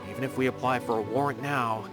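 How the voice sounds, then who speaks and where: A man's voice: medium pitch, fairly even intonation, quick pace, close to an everyday voice. A man voicing an earnest, anxious, loyal junior investigator in a video game, speaking expressively. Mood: hesitant, uneasy. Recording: background music.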